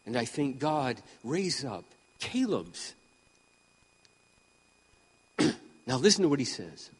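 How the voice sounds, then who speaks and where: A man around sixty preaching a sermon with impassioned emphasis, swinging between loud peaks and quiet asides. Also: medium pitch, very animated, takes it slowly, breathy edge, in a large hall, poor audio. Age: 60s. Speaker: pastor.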